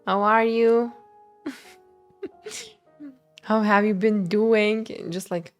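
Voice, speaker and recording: Girl streamer doing casual gameplay commentary, slow relaxed pace, reactive, game music behind. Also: mid-range voice, no echo. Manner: chatty, reactive.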